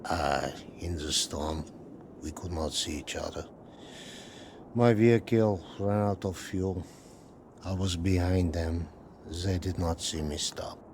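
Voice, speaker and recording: An actor playing a polite, resigned Soviet engineer in a game, with a Russian accent and halting English. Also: male voice, sits low, slight background noise. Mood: resigned, sorrowful. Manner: halting, sparse non-native English phrasing. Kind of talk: naturalistic video game drama acting. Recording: loud music behind dialogue.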